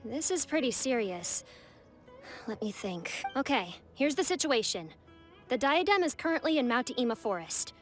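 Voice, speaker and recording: A woman voicing a brisk, composed female competition organizer in an anime game, businesslike and explanatory. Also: a little high, very animated, soft background music.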